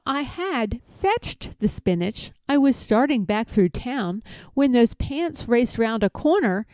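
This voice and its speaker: A woman reading rhyming children's stories aloud in an expressive, theatrical storytelling manner. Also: medium pitch, dry recording. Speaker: storybook reader.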